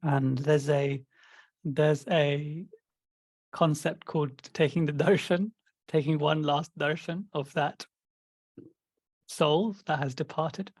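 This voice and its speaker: A man's voice: a little high, fairly even intonation, slow pace, long pauses, dry recording. A man co-hosting a podcast, talking things through in a thoughtful, explanatory way with many fillers. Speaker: podcast co-host. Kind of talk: casual discussion.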